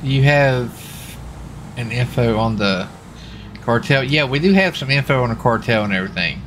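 A man's voice: medium pitch, lively intonation, moderate pace, a bit bright, a little hiss or hum. A man chatting casually in folksy, colloquial phrasing while roleplaying a lawman in a video game. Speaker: streamer playing a US marshal in GTA roleplay.

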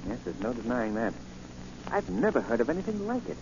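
An actor playing a suave, composed gentleman sleuth, courteous and assured, in 1940s radio style. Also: medium pitch, big pitch swings, a little fast, lightly acted. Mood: intrigued, reassuring. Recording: muffled, hissy 1940 radio recording.

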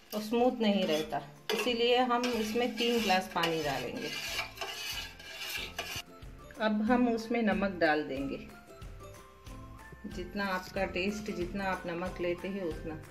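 A woman hosting a home cooking channel, calmly walking through each step of a recipe, pointing things out as she goes. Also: mid-range voice. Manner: patient, explanatory, repeats steps with "dekhiye". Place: home kitchen, cooking at the stove.

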